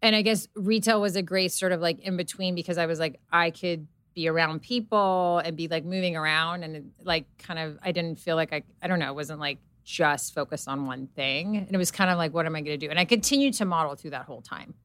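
Woman guest, middle-aged, low and smooth, loose filler-heavy storyteller, dry sound. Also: a little fast, hardly any pauses, fairly bright tone, no room sound. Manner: rambling, filler-heavy storytelling with quoted inner thoughts. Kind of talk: casual chat.

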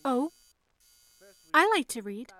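Woman acting as sweet earnest young switchboard girl, old radio play style, pretty high voice, anxious and caring. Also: animated, slow pace, sharp tone, full character voice. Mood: shy. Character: earnest, dutiful young telephone operator, anxious but caring.

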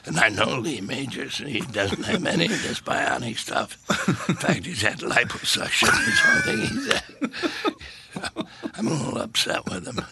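A man's voice: mid-range voice, huge pitch swings, normal speed, noisy background. A man in his seventies, an actor telling rambling stories in a casual interview and laughing at his own jokes. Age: 70s. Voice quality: gravelly, husky. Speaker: actor guest.

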